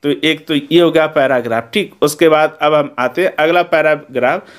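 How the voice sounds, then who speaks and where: A man's voice: fairly high pitch, moderate pace, a bit bright. A man with an Indian accent giving an instructional lesson as a teacher, explaining methodically step by step and checking in after each point. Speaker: Hindi teacher.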